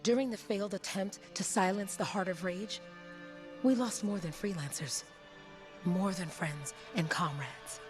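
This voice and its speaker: A woman's voice: medium pitch, unhurried, music in the background, lightly acted. A woman voicing a solemn, grave storyteller, narrating a video game. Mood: solemn, mournful. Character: solemn, grave female storyteller of fallen heroes.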